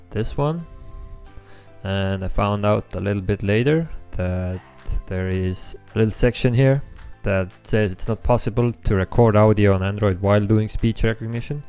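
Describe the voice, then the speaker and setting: A young man with an Estonian accent, his first language Estonian, walks through a coding tutorial in a relaxed way, with frequent ums as he thinks aloud. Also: lowish voice. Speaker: app developer tutorial host. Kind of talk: screen-recorded coding tutorial. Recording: faint background music.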